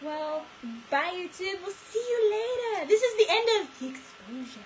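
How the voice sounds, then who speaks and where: A young woman with a high voice delivers casual, comic storytelling. Her manner is excitable and giggly, leaning into theatrical mock outrage. The amateur recording carries audible noise. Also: moderate pace. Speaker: vlog co-host. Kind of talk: casual comic retelling of fairy tales.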